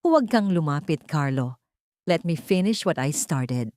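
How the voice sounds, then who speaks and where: A wronged wife as a woman storyteller acts her out, bitter and defiant. Her accusations rise and fall widely in a lowish voice. The sound is close and dry, as in an audio drama story. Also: lively intonation, measured pace, darkish tone, no echo. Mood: bitter, accusing.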